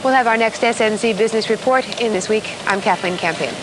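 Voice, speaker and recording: A woman's voice: mid-range voice, quick pace. An American woman reading a scripted stock market report as a television business reporter. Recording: very noisy old TV broadcast tape.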